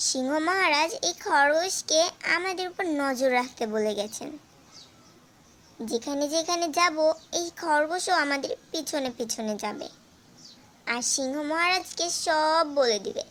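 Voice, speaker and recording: A very high-pitched cartoon mother goat, played by a woman, sly and protective. She talks in a coaxing, glib way, sweet-talking like a born persuader. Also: fairly bright tone. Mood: glib, insistent. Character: clever mother goat, sly flatterer protecting her kid. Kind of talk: animated fable character acting.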